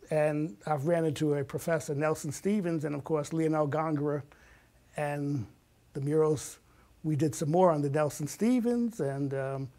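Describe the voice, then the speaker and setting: A man's voice: on the high side, dark tone. A man in his sixties telling personal stories in conversation as an interview guest, acting out bits of remembered dialogue. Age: 60s.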